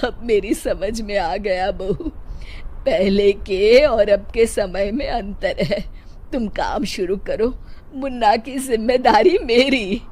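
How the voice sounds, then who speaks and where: Woman acting a slow, scolding old mother-in-law. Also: fairly high pitch. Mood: softened. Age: older. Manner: taunting, indignant rhetorical questions. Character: scolding old-fashioned Indian mother-in-law, grey-haired and domineering. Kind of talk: acted Hindi moral story dialogue.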